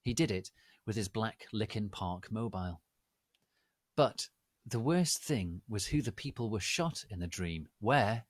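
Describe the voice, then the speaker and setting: A man narrating a comic story in a theatrical, deliberate, dramatic reading style. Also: lowish voice, expressive, no room sound, studio quality.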